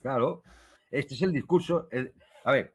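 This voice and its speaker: A man's voice: mid-range voice, normal speed, fairly bright tone. A man talking in a spontaneous way in a live political discussion.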